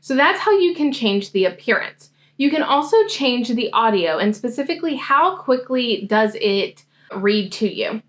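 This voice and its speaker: Woman walking through software steps. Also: fairly high pitch, sing-song, normal speed. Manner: friendly, explanatory screen-demo talk. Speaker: science grad school coach. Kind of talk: software tutorial walkthrough.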